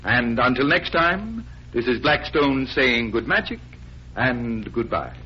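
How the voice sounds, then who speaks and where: An actor playing an assured, genial stage magician in 1940s American radio style, explaining with a deliberate showman's manner. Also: medium pitch, expressive. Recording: muffled, noisy vintage radio recording.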